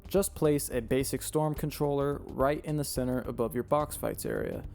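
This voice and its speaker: Slightly hoarse man reading game settings. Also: medium pitch, moderate pace, faint music behind. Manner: steady instructional, reading settings one by one. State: slightly hoarse, losing his voice. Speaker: game tutorial creator. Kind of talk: step-by-step tutorial voice-over.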